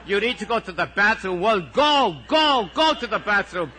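A harried Irish schoolteacher played by a man reading his story aloud pushes his voice high and loud. He is exasperated and pleading, with a bright, forceful edge as he questions his pupils. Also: expressive, moderate pace, slight background noise. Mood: frantic exasperation.

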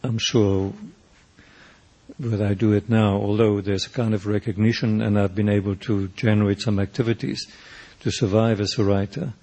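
An older man from South Africa whose first language is Afrikaans, with a South African accent, answering interview questions in a thoughtful, halting way with many restarts. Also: fairly low pitch, flat delivery, mellow. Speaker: poet and painter, interview guest.

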